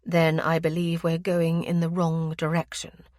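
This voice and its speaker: A woman's voice: deep voice, completely flat delivery, unhurried, a little mellow, no echo, clean studio sound. A woman voicing a fussy older aristocratic aunt who is busy matchmaking, speaking in a genteel, coaxing way. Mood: teasing.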